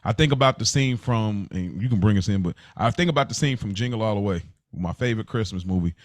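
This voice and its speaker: A man's voice: on the low side, animated, fast, no echo, studio quality. A middle-aged American man talking casually and earnestly as a podcast co-host, often repeating words for emphasis. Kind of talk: casual conversational podcast chat.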